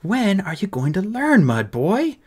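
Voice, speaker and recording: A man's voice: high-pitched, huge pitch swings, moderate pace, voice pushed up, heavily acted. A man voicing a testy, blunt female fairy police captain in an audiobook story. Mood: scolding. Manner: snappish, blunt, skeptical.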